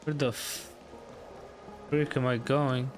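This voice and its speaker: Slow-talking male game streamer. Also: medium pitch, flat delivery, music in the background. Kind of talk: casual live gameplay commentary.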